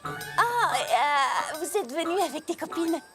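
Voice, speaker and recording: A woman's voice: extremely high pitch, animated, slow pace, loud music behind the voice, full character voice. A woman voicing a kindly, slightly awkward young princess pony who teaches, in a cartoon dub, polite and earnest. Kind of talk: French cartoon dub acting.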